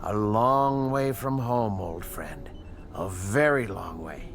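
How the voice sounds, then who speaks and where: An actor playing an earnest, proud scientist and inventor in a classic television drama, with measured, precise, educated diction. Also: male voice, lowish voice, faint music behind. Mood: soothing.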